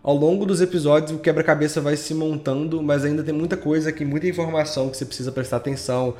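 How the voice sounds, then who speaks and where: A man's voice: fairly high pitch, fairly even intonation, fast talker, dark tone, faint music behind. A man with a Brazilian accent chatting informally and with slang as a guest podcast creator. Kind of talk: casual chat.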